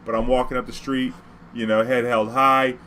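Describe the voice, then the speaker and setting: American guy, mid pitch, telling a story casual w lots of slang and swearing, bit of noise behind him. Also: level tone, moderate pace, sharp tone, low-quality recording. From New Orleans, US. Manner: slangy, profane, street talk. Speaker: vlogger telling personal stories. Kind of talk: casual animated storytelling, acting out dialogue.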